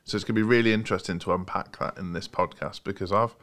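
A man hosting a podcast, relaxed and jokey, asking questions with many fillers. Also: low-pitched, quick pace. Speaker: podcast host. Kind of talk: casual podcast interview.

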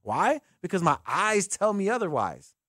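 An American man hosting sports talk radio, talking with callers in an informal, animated, conversational way. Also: high-pitched, moderate pace, no echo, studio quality. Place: radio studio. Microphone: close broadcast microphone.

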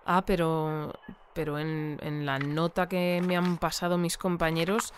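A woman's voice: low-pitched, level tone, a little slow, noisy background. An actress playing a polished but easily flustered female radio news presenter in an audio drama.

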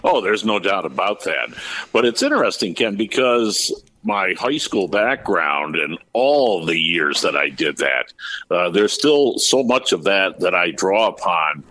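A man's voice: deep voice, lively intonation, a little slow, pushed and bright, slight background noise. A man in his fifties, a sports broadcaster, explaining his work in detail in a conversational podcast interview. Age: 50s. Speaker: basketball radio play-by-play broadcaster, interview guest.

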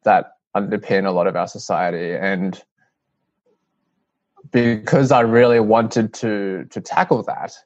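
An earnest young man with an Australian accent speaks in a low, measured voice, often restarting his sentences. The audio is dry and a little muffled. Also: dry recording. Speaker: guest, CEO of a youth-led charity. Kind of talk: interview answers.

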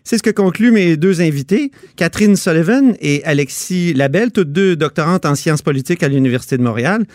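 A man's voice: on the high side, expressive, brisk, hardly any pauses, darkish tone, no room sound, clean studio sound. A man hosting a radio interview, asking probing questions and interjecting often. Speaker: radio host.

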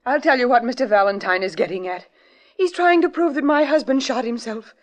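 An actress playing a distraught wife under suspicion in a 1940s radio drama, speaking in a pleading, anxious way. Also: female voice, on the high side, fairly quick, natural voice. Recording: dull lo-fi vintage radio recording.

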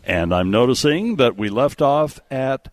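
A man's voice: medium pitch, lively intonation, a little slow, no room sound. A man hosting a live radio talk show, chatting and promoting in an effusive, easily amazed way on a studio mic. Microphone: close studio radio microphone.

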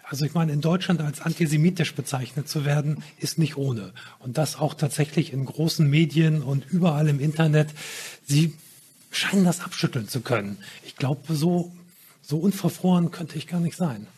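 A man's voice: fairly high pitch, normal speed, darkish tone. A man hosting a talk show who questions his guests in a probing, pointed way. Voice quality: raspy. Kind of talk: interviewing guests.

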